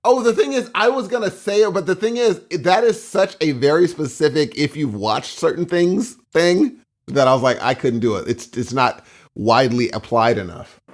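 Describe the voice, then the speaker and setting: A man's voice: on the high side, moderate pace. A man co-hosting a podcast in casual, rambling, joking chat. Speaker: podcast co-host.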